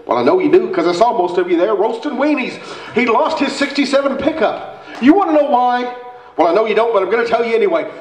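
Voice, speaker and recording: A man playing an exasperated, higher-voiced small-town fire chief. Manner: folksy, sarcastic, rhetorical pleading. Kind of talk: live radio-play comedy reading. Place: indoor theater stage, standing microphone, far camera. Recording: echoey theater stage, distant microphone.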